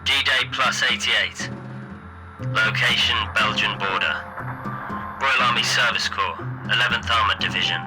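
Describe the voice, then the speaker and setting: An actor playing a British army radio reporter in an audio play, loud and clipped, announcing in short formal phrases through a radio filter. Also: male voice, mid-range voice, a little slow, over loud music, poor audio. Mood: brisk, official.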